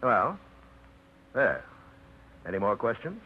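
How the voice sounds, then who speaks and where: An actor playing a laconic, blunt mechanic who answers in short, dry phrases, in a 1950s radio drama. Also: male voice, mid-range voice, very animated, slow, slight background noise. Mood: matter-of-fact. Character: blunt, laconic man named Hawkins, a truck mechanic. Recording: muffled 1950s radio broadcast.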